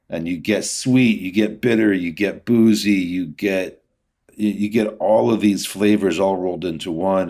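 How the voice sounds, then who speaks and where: A man with a fairly low voice talks as a distiller being interviewed. His delivery is conversational and casual, with an enthusiast's way of describing what he likes. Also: darkish tone. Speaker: podcast guest, whiskey distiller.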